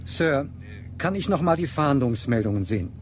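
An actor playing a formal, deferential and earnest ship's officer in a German radio drama. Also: male voice, medium pitch, animated, normal speed, slight background noise, close to an everyday voice. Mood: earnest, discreet.

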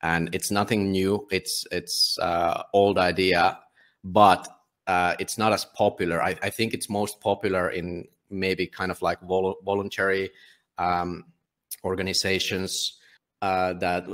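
A man's voice: deep voice, level tone, a little slow, fairly bright tone. A man from Finland, a native Finnish speaker, talking casually and bluntly as an interview guest, swearing freely. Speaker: podcast guest, volunteer book publisher.

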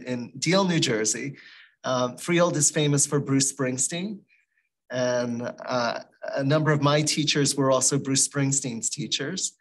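A man's voice: on the high side, fairly bright tone. A middle-aged man from the United States giving an informal talk in a large meeting hall, telling his story with many fillers, picked up by a distant room mic. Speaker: guest educator. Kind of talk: informal lecture.

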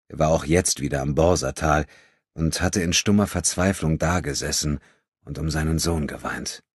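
A man narrating an audiobook dramatically, with grave, measured and suspenseful delivery. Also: very deep voice, breathy edge, dry recording, clean studio sound.

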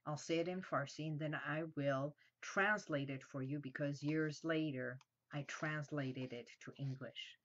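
A woman in her fifties, born in Iran and a native Armenian speaker, speaks slowly into a webcam in a small room at home. Her voice sits low and her phrases fade to a hush at the ends. The sound is a little dull and lo-fi. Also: fairly even intonation. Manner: soft and slow, trails off at phrase ends. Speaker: host. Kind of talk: reflective talk to camera.

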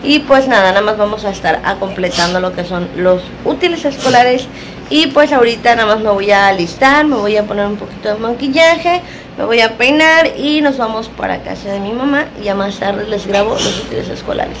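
A woman's voice: medium pitch, a little fast. A woman in her thirties with a Mexican accent, chatting casually to her viewers as a family vlogger. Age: 30s. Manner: chatty, addresses viewers as friends. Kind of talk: casual vlog narration to viewers. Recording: noisy phone recording with background ambience.

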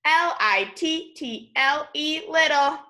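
A young woman teaching small children in a video lesson, animated and encouraging, spelling out and enunciating words as she invites them to repeat. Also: sits high, takes it slowly. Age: young adult. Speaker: elementary school teacher. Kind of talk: early-grade video lesson to children, call and response. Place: room in a home, indoors.

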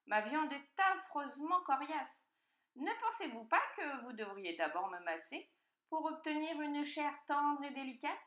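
Woman voicing a high-pitched, wheedling young piglet. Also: expressive, a little slow, a bit bright, roomy sound. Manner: politely pleading, falsely innocent. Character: cunning young piglet, polite and wheedling, male. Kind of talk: children's storybook character voice.

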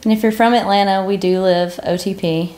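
A woman's voice: mid-range voice, steady tone, brisk, darkish tone. A thirty-year-old woman chatting casually as a podcast co-host, exclamatory and bubbly, often saying "like". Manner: bubbly, exclamatory, peppers talk with "like". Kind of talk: casual chat. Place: living room sofa at home.

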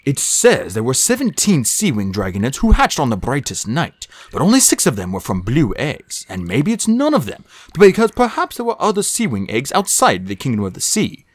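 A woman's voice: rumbling low, wildly swooping pitch, normal speed, few pauses, no room sound, exaggerated voice. A woman voicing an earnest, bookish young male dragon from a storybook, thoughtful and a little unsure. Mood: studious. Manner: thoughtful, studious. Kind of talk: storybook character voice.

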